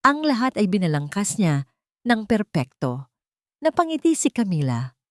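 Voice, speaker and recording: A woman's voice: mid-range voice, very animated, moderate pace, no echo, clean studio sound. A woman reading a scripted romantic drama as an audiobook narrator.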